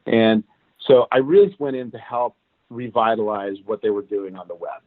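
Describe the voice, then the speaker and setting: A man's voice: on the low side, normal speed, no room sound. Man telling his story over a tinny line. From Cuban. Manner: animated, recounts events at length. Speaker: interview guest. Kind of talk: casual career storytelling. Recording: tinny phone-like line, dry.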